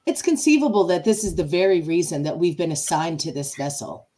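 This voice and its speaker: A woman's voice: fairly low pitch, big pitch swings, a little fast, mellow. A woman playing a calculating male starship officer in an amateur podcast radio play, with theatrical delivery. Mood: conspiratorial. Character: male starship first officer, smooth, calculating military man.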